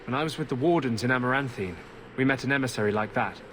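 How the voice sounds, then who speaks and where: An actor playing an earnest, tormented former warden mage in a fantasy video game. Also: male voice, medium pitch, quick pace, fairly bright tone, a little hiss or hum, natural voice. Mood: thoughtful. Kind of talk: video game cutscene acting.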